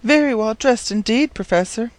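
A man's voice: extremely high pitch, expressive, moderate pace, no echo, pitched above the performer's own voice. A man voicing a practical, blunt housemaid woman in a storybook, with a matter-of-fact manner. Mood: brisk.

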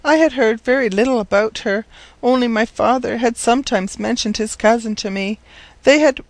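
Man voicing a high-pitched forlorn young orphan girl. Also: moderate pace, no echo. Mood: forlorn, earnest. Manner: earnest, plaintive, formal. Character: forlorn, pleading teenage orphan girl, well-mannered.

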